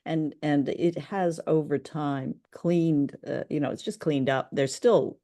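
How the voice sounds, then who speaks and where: A woman's voice: deep voice, steady tone, slow pace, dark tone. A woman co-hosting a casual review show, talking with gushing enthusiasm about what she has heard. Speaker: podcast co-host reviewing old radio plays.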